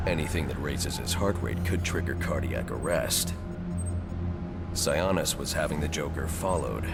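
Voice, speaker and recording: A man playing a grim, brooding masked vigilante detective in a video game, terse and menacing, with a gravelly growl. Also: extremely low pitch, full character voice. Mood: focused. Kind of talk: video game cutscene acting.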